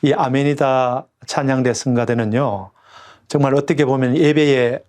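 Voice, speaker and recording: A man's voice: medium pitch, fairly even intonation, normal speed, a little mellow. A middle-aged man, a pastor, speaking earnestly and with fervor in a radio interview, with a regional dialect he mentions himself.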